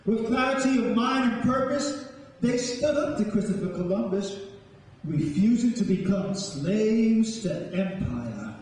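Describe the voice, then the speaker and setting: New guy doing spoken word poetry, slow and solemn, big boomy hall, far from the mic. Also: animated, darkish tone. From South Bronx, New York. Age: middle-aged or older. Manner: declamatory, solemn, deliberate phrasing. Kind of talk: dramatic live poetry recitation with spoken introduction. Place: large indoor hall, live performance before an audience. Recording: echoey hall, distant microphone.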